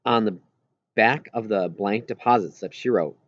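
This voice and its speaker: A man's voice: fairly low pitch, moderate pace, no echo, muffled recording. A man reading a children's novel aloud in first-person narration, as an audiobook reader.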